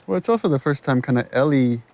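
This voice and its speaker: A man's voice: medium pitch, lively intonation, fast talker, darkish tone. A man giving director's commentary on a game, conversational and explanatory.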